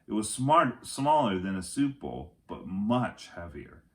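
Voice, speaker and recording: A man with a lowish voice reads a children's chapter book aloud. His delivery is very animated, with deliberate, dramatic phrasing. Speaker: read-aloud storyteller.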